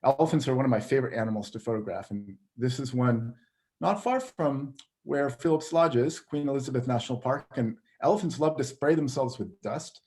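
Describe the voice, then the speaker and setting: A man's voice: mid-range voice, fairly quick, darkish tone. A man hosting a video call, presenting a slideshow talk in a friendly, plain way. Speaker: travel photography company head, presenter.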